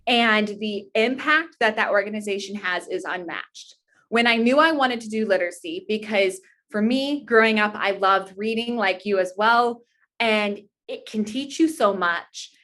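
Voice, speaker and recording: A woman's voice: medium pitch, measured pace, fairly bright tone. A young American woman giving conversational interview answers as a podcast guest. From Indiana, United States. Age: young adult. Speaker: podcast guest, author and pageant titleholder.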